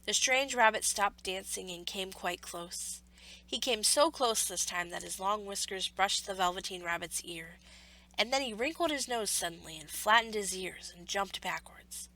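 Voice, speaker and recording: A woman reads a children's story aloud as its narrator. Her voice is bright and sits at a middle pitch, with measured, expressive delivery. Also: poor audio.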